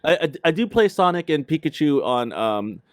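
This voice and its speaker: A middle-aged man hosting a livestream, chatting casually and excitably in slangy speech with co-hosts and viewers. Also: fairly high pitch, normal speed, clean tone, no echo, studio quality. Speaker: host of a retro pop culture livestream.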